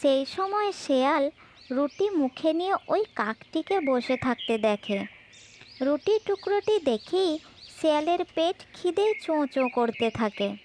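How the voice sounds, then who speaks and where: A woman with a distinctly high voice narrates a children's fable in a scripted storytelling style. There is faint noise in the recording. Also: big pitch swings, measured pace, darkish tone.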